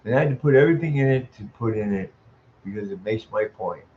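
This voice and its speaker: A man ranting in a profane monologue, ending sentences with "man," chuckling, and stalling on drawn-out "uh" sounds. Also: on the low side, animated, highs cut off, slight background noise. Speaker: vlogger.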